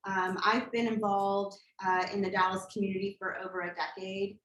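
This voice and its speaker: A woman's voice: mid-range voice, flat delivery, normal speed, fairly bright tone. A woman in her thirties, from Colombia, giving an earnest campaign speech at a community meeting, with frequent filler words. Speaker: political candidate. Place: church meeting hall. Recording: echoey hall.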